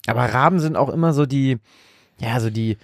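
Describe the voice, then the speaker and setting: Guy chatting on a podcast, medium pitch, dry sound, loose thinking out loud way of talking. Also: a little fast, no room sound. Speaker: podcast co-host. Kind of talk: casual podcast chat.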